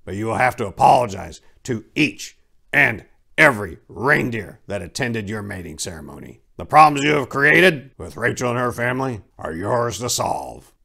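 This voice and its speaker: A man's voice: mid-range voice, big pitch swings, moderate pace, gravelly, pushed and bright, heavily acted. A man voicing a stern, bossy Santa Claus who makes pompous pronouncements in a storybook reading. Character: commanding, indignant, bossy male Santa Claus.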